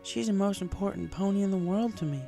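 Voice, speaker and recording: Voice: medium pitch, fast, creaky, dark tone, soft background music, pitched above the performer's own voice, natural voice. A performer voicing an earnest, troubled young female farm pony in a story reading. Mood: confessing, tender.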